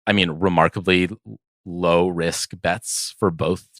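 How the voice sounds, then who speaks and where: A man with a low-pitched voice in a very dry recording. He co-hosts a casual sports discussion, working through his points haltingly and often seeking agreement as he goes. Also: fairly even intonation, a bit bright, no echo. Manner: hesitant, measured reasoning, often checks with "right?". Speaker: podcast co-host.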